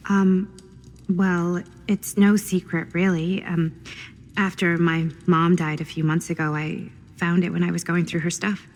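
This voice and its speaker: An actress playing a hesitant, guarded young woman in a video game, with a husky, creaky voice. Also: on the low side, flat delivery, measured pace. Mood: hesitant, subdued. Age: young adult. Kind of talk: naturalistic video game acting.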